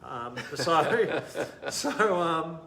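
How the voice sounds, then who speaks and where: A man in his thirties with an Australian accent, the guest in a casual interview, telling his story animatedly, laughing often and trailing off quietly. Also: fairly high pitch, lively intonation, extremely slow, gravelly, roomy sound. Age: 30s.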